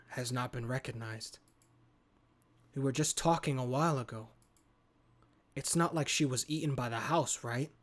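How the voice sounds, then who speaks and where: A man reading a horror game's story narration aloud as a gaming host. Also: medium pitch. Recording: clear background noise.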